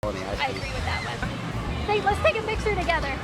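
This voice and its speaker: A woman conducts man-on-the-street interviews in a very high voice. A crowd and music are clearly audible behind her as she puts questions to people. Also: wildly swooping pitch, a little fast.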